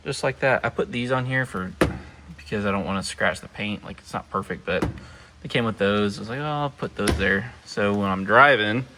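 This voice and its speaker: A man's voice: fairly low pitch, moderate pace, noisy background. A man casually showing his car setup in a vlog, talking loosely with many fillers and half-finished thoughts, recorded on a camera inside his car. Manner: rambling and loose, heavy on fillers and trailing thoughts. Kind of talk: casual show-and-tell vlog. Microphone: camera mounted in the car.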